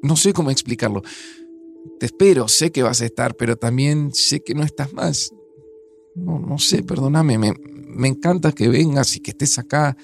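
A man's voice: mid-range voice, moderate pace, creaky, faint music behind, close to an everyday voice. A man playing a melancholy, grieving man in a naturalistic audio drama, with an Argentine accent and a hesitant, introspective way of talking. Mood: anguished, wistful. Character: melancholy, grieving, dramatic man, older than his friend.

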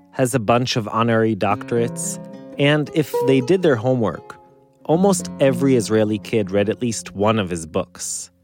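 Podcast host narrating over guitar. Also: male voice, mid-range voice. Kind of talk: scripted narration.

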